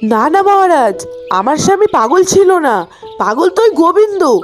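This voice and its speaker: A woman playing an excitable, gullible, pushy neighbour wife in a cartoon, with a dramatic, insistent delivery. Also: very high-pitched, a little slow, faint music behind, heavily acted. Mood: distraught. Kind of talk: Bengali cartoon voice acting.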